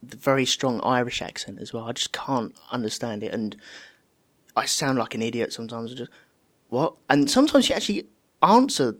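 Southern English man, casual guest, animated storyteller. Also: mid-range voice, fairly quick. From England. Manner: chatty, acts out quoted voices. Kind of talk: casual podcast chat.

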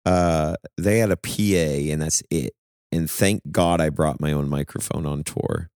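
A man co-hosting a podcast in casual chat, animated, laughing at himself and swearing freely. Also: a bass voice, measured pace, dry recording, studio quality. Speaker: podcast co-host and touring musician.

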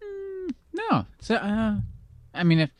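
A middle-aged man co-hosting a casual podcast chat, reminiscing with halting starts. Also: high voice, huge pitch swings, dry recording. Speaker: podcast co-host.

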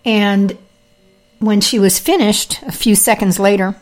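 A woman hosting her own podcast, talking casually with fillers and throat clearing, telling her own experience. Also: medium pitch, a little slow. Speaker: podcast host; health practitioner with patients. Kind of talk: casual monologue.

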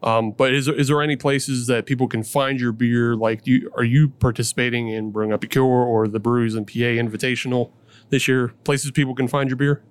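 A man's voice: mid-range voice, steady tone, brisk, dry recording. A man hosting a casual podcast, joking and profane, laughing often. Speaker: podcast host.

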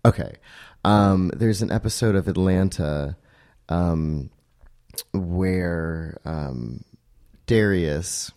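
A man's voice: low-pitched, drawn-out words, long pauses, darkish tone. A man hosting an interview show, asking laid-back, rambling questions. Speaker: podcast host. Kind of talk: casual interview.

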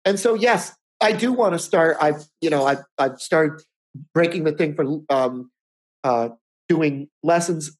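Rambling man in his fifties, higher voice. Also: measured pace. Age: 50s. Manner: rambling, restarts often, chuckles. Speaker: podcast co-host. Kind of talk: casual chat.

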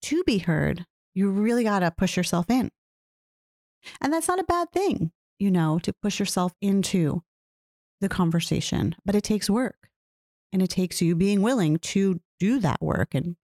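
A woman talking earnestly and reflectively about her own experiences, often putting questions to the listener. She describes her own voice as low. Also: animated, a little slow, a little mellow, no echo, clean studio sound. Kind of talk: reflective personal talk.

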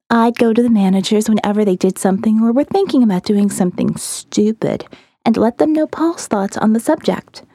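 A woman's voice: medium pitch, warm tone, no room sound, clean studio sound, lightly acted. A woman voicing a candid, amused and wistful young woman in an audio novel, with a shrugging manner that tends to trail off.

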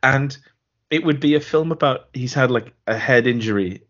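A man co-hosting a podcast, chatting casually and reading out a listener email with a dry, deadpan delivery. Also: mid-range voice, moderate pace. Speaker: podcast co-host. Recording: dull lo-fi podcast audio.

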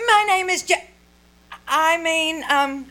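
A woman's voice: very high-pitched, takes it slowly, sharp tone, heavily acted. A woman playing a prim, tart young wife in an old-time radio comedy, arch, polite and theatrical. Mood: flustered.

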